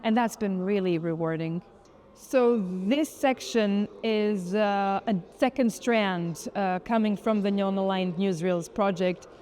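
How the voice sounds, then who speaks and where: Woman artist doing an interview, mellow relaxed voice, thoughtful and articulate, explaining their project. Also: medium pitch, slow. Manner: articulate, thoughtful, frequent "kind of" hedging.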